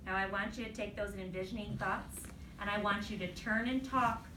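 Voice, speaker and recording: A woman teacher reading aloud to a class and giving pupils deliberate, instructive directions, checking that they follow along. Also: medium pitch, fairly even intonation. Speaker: elementary school teacher. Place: classroom, recorded from a distance. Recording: roomy, distant mic, faint noise.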